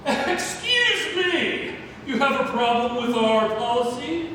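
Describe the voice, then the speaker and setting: A man's voice: very high-pitched, expressive, takes it slowly, pushed and bright, full character voice. A man playing an arrogant, tyrannical circus ringmaster with a pompous, bullying manner in a theatrical play read-through. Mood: outraged. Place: community theatre, large indoor room. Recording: noisy echoey hall, far from mic.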